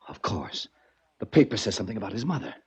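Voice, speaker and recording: A man's voice: lowish voice, big pitch swings, fast, a little mellow, breathy edge, full character voice. An actor playing a desperate, frightened rich man marked for death, pleading in an agitated 1940s radio drama style. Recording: dull vintage 1940s radio recording.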